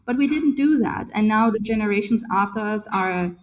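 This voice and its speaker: A woman's voice: mid-range voice, lively intonation, moderate pace. A woman in her forties speaking on a video call in casual chat, passionate and emphatic, often tagging "right?" onto her points. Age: 40s. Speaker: guest speaker on a community call.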